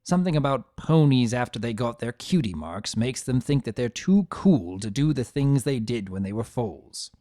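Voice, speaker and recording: Expressive, wide-ranging storyteller in a dry close recording. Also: mid-range voice, moderate pace, hardly any pauses, dry recording. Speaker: narrator of a fan fiction reading. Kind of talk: dramatic scripted narration in first-person character.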